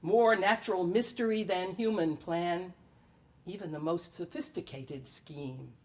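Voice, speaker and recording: A woman reading her own poetry aloud at a live event, in measured and expressive phrases with deliberate pauses. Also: fairly low pitch. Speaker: poet reading own work. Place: live public reading at a library.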